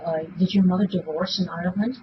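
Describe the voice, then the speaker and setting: A woman's voice: lowish voice, steady tone, fast. A woman conducting an interview, asking questions in a casual, conversational way. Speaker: police polygraph examiner, interviewer. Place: small police interview room. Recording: muffled, noisy interrogation room recording.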